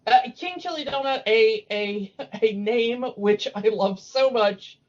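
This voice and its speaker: A woman's voice: fairly high pitch, slow pace. A woman co-hosting a podcast in a casual, giggly and chatty way. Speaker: podcast co-host. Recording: dull lo-fi audio.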